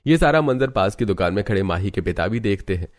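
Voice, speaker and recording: A man's voice: on the low side, expressive, fast, no echo, studio quality. A man narrating a scripted cartoon story.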